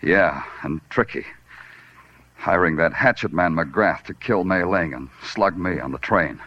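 An actor playing a world-weary, poetic New York police detective in a 1940s radio drama, clipped and brooding. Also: male voice, low voice, pushed and bright, a little hiss or hum. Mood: accusing, weary. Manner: brooding, clipped, deliberate delivery. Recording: dull vintage radio recording, organ-style music behind.

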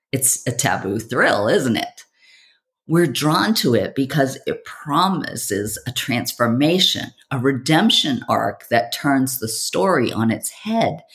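A woman delivering a scripted motivational monologue as a podcast host, with theatrical storytelling, rhetorical questions and dramatic pauses. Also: extremely low pitch.

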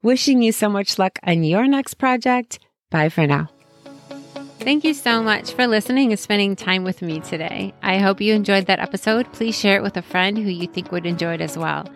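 A woman's voice: medium pitch, normal speed, faint music behind. A woman hosting a casual solo podcast, chatty and encouraging, her voice strained as she says she is losing it. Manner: chatty and encouraging, friendly asides. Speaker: podcast host.

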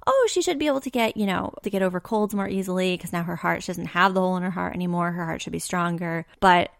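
A woman in her thirties co-hosting a podcast, chatting casually with a hoarse, scratchy voice and long, rambling thoughts. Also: on the low side, quick pace, dry recording, studio quality. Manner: chatty, talks in long running thoughts with many fillers. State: hoarse, scratchy voice after a loud party. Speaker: podcast co-host. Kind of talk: casual chat.

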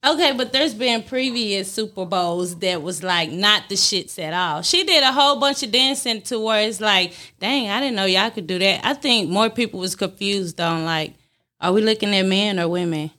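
A woman's voice: medium pitch, moderate pace, bright, forceful tone. A woman co-hosting a podcast in casual chat, defending her view and firing questions back. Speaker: podcast co-host.

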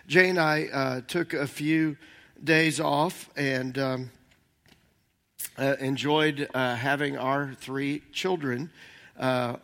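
An older man giving a conversational sermon as senior minister, slightly hoarse, rambling and halting with many fillers. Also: medium pitch, a bit bright.

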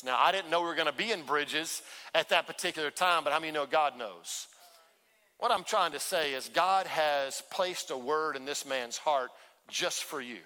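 A man's voice: on the high side, sharp tone. A man, the church's pastor, introducing a guest speaker to the congregation with enthusiasm. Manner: enthusiastic, hyping the crowd. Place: large church sanctuary. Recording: long hall reverb.

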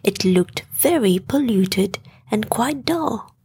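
A woman narrating a scripted bedtime story in a soft, gentle storytelling manner. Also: mid-range voice, lively intonation, takes it slowly, breathy edge. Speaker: storyteller, podcast host.

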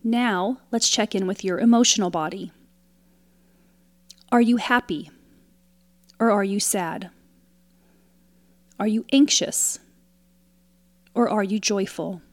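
Female meditation guide: calm, soothing scripted delivery, very unhurried, close and dry recording. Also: medium pitch, dry recording. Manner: calm, soothing, instructional, with long pauses between short phrases.

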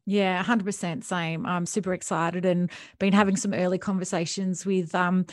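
A woman's voice: fairly low pitch, little pitch movement, moderate pace, no echo, clean studio sound. A woman hosting a podcast interview who sounds upbeat and agreeable and uses some fillers. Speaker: podcast host.

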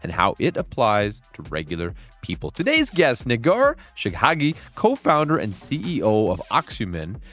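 A man's voice: medium pitch, wildly swooping pitch, moderate pace, hardly any pauses, fairly bright tone, soft background music. A middle-aged man hosting a podcast interview, gushing with praise for his guest. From Canada. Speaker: podcast host. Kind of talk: casual interview.

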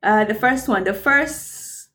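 A woman's voice: medium pitch, slow, pushed and bright. A Nigerian woman co-hosting a casual chat, reading out history facts playfully and laughing at her own stumbles. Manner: playful, stumbles over words, laughs easily. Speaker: co-host.

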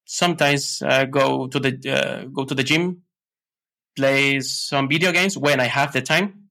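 Ecuadorian guy w spanish accent chatting on a podcast, bright forward voice, a bit halting. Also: mid-range voice, steady tone, vocal fry. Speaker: podcast guest, tech consultant. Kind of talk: casual interview chat.

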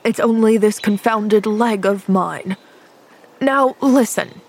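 A woman reading a storybook aloud, voicing a gruff, irritable injured man who snaps his words. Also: medium pitch, slow pace, a little mellow. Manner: snappish, grim.

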